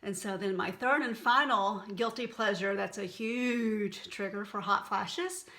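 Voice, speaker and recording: A woman's voice: medium pitch, unhurried, bright, forceful tone. A middle-aged woman with a Texan accent, talking candidly and casually to camera as a wellness blogger. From Texas. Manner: chatty, candid and folksy. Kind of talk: unscripted casual monologue to camera.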